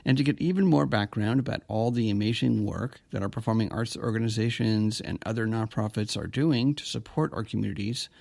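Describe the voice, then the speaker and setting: A man presents as a podcast host. His voice has a noticeable gravelly fry and sits in a medium-to-low register. The sound is dry, with very little room. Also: a little mellow, dry recording. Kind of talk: scripted podcast narration and interview hosting.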